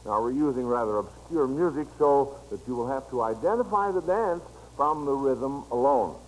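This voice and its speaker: A man's voice: mid-range voice, expressive, normal speed, rough recording. Male American quiz show host for vintage radio, encouraging delivery, faint noise of an old recording. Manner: encouraging, praising the contestants. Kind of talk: 1940s-50s radio quiz show hosting.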